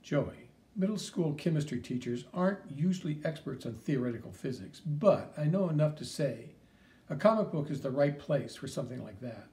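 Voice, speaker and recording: A man reading an audiobook, voicing a patient middle school chemistry teacher who gives calm, gentle advice. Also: on the high side, moderate pace. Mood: lecturing.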